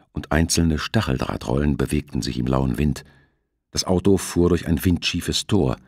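A man narrating a thriller novel as an audiobook, with measured, dramatic storytelling. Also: deep voice, gravelly, husky, dry recording, studio quality.

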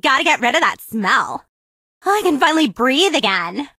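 A woman's voice: high voice, very animated, normal speed, bright, forceful tone, no echo, studio quality, heavily acted. A woman voicing a vain, snarky zombie teenage influencer for a video game, with a sassy, exaggerated valley-girl delivery. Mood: smug. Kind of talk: video game character voice lines.

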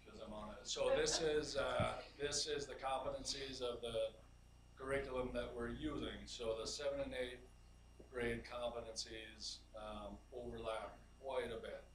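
A man, a math teacher, presents slides to a school board in a halting way, with many fillers and restarts. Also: medium pitch, fairly even intonation. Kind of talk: slide presentation at a public meeting. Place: large school library meeting room. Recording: echoey room from far off with background noise.

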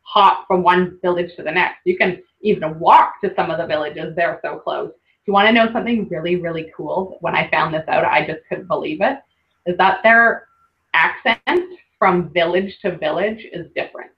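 A woman's voice: on the low side, normal speed, muffled recording. A woman hosting an online workshop, chatting casually through slides with lots of laughs. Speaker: workshop host presenting slides. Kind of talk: casual webinar presentation.

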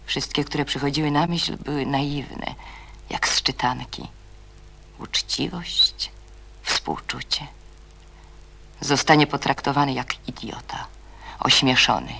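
A performer narrating a radio play as a melancholic storyteller, with a breathy, often half-whispered delivery. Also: medium pitch, bright, forceful tone, exaggerated voice. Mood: tense, brooding. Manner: slow, brooding, melancholic. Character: brooding, melancholic storyteller voicing characters' thoughts.

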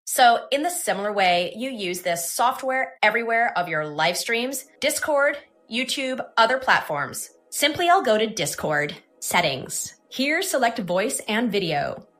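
A woman presenting a software tutorial in scripted, instructional, step-by-step narration. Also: on the high side, big pitch swings, measured pace, hardly any pauses, soft background music.

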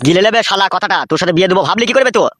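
Man playing a rapid, hot-headed young villager. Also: sits high, expressive, a bit bright, no room sound, clean studio sound. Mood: bitter. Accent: rural West Bengali. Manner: cursing, aggrieved. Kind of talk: cartoon dialogue.

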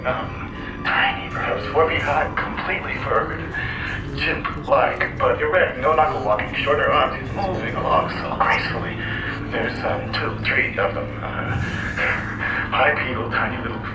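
An actor playing an entranced male researcher haltingly describing a primal vision in a film drama. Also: mid-range voice, heavy background noise, natural voice. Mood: awed, entranced. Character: entranced male researcher reliving life as primitive human. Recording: roomy distant film audio under loud music.

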